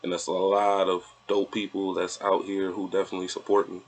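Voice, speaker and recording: A man's voice: low-pitched, monotone, brisk, a little hiss or hum, poor audio. A man, talking casually and laid-back as an interview guest, rambling a little. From Flint, Michigan, United States. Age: 20s. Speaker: interview guest, event promoter.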